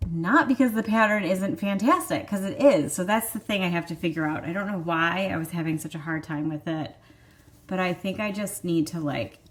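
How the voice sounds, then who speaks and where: A woman's voice: fairly low pitch, normal speed. A woman in her thirties with a Michigan accent, hosting a vlog in chatty, rambling casual talk full of fillers. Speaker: vlog host. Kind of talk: casual chat.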